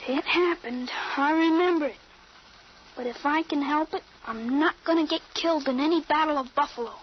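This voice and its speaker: A woman's voice: high-pitched, moderate pace, natural voice. An actress playing an earnest, assured thirteen-year-old boy with a grown soldier's mind in an old-time radio drama. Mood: grave, determined. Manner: assured, insistent, explanatory. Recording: muffled, noisy old radio recording.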